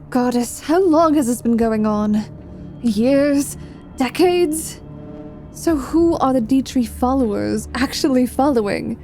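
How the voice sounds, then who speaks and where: Woman playing a slow, wry female adventurer. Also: fairly high pitch, dark tone. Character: wry, foul-mouthed female adventurer, reluctant hero and lover. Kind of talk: intimate ASMR roleplay acting.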